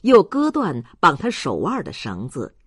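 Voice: mid-range voice, wildly swooping pitch, a little slow, slightly rough, dry recording, studio quality. A narrator reading literary fiction aloud in a dramatic storytelling manner. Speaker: audiobook narrator.

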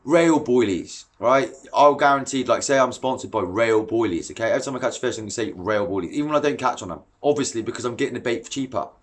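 Fishing vlogger, man in his thirties, animated and blunt, talking to a webcam in his living room. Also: mid-range voice, fast talker, few pauses. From England. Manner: blunt, animated and exasperated, gestures while talking. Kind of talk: ranting to camera. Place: living room at home, indoors. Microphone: webcam at desk distance.

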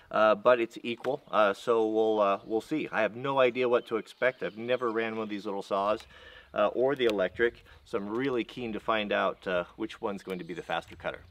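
A man in his forties testing tools outdoors, talking casually with many restarts and fillers. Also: fairly low pitch. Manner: rambling, halting, full of restarts and fillers. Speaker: vlogger testing chainsaws. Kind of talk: casual hands-on demonstration. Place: outdoors in a yard by a tractor.

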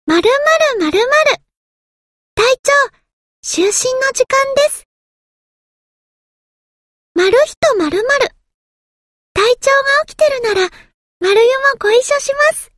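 A woman's voice: very high voice, expressive, unhurried, pauses often, a bit bright, no room sound, clean studio sound, exaggerated voice. A woman voicing a small, timid, earnest anime game submarine girl, with a thin childlike voice and a hesitant, polite manner. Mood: dutiful, polite. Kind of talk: anime game character voice lines.

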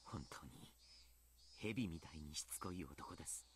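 An actor playing a soft-spoken, grave male doctor in a Japanese visual novel, speaking politely and choosing his words with care. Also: rumbling low, a little fast, in a whisper.

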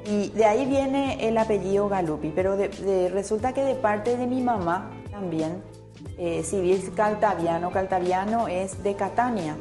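A middle-aged woman from Paraguay whose first language is Italian, talking as a guest on a TV studio set. She is chatty and full of fillers and restarts. Her voice sits at an ordinary mid pitch, with faint music behind her. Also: unhurried. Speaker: interview guest, painter. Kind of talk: casual interview chat.

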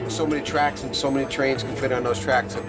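A man's voice: medium pitch, flat delivery, fast, loud music behind the voice. A man working in train dispatch, explaining delays in a matter-of-fact way.

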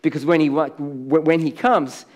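A man's voice: fairly high pitch, steady tone, slow. A middle-aged man with an Australian accent preaching a sermon on a church stage, chatty, then rising to emphatic on key words. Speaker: pastor. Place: large church stage with blue lighting.

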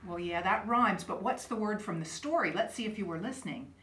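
A woman's voice: mid-range voice, animated, a little fast, a bit bright, a little hiss or hum. Teacherly woman in an echoey room. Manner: teacherly, prompting with questions. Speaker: children's story time host. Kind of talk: talking to children and a puppet. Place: indoors, living room. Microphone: camera a few feet away.

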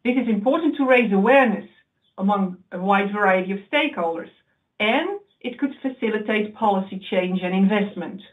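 A woman giving a webinar talk from slides, explaining in a measured way with occasional slips and restarts. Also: medium pitch, animated. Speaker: research presenter. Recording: tinny phone-like audio.

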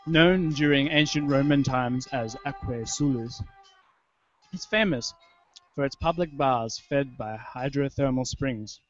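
Young man, Australian accent, mid-pitched, prose reading, music underneath, dull webcast audio. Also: measured pace. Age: young adult. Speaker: writer or performer reading at a festival. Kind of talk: literary reading of personal prose. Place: indoor room, live online video stream. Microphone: handheld microphone held close. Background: music soundscape.

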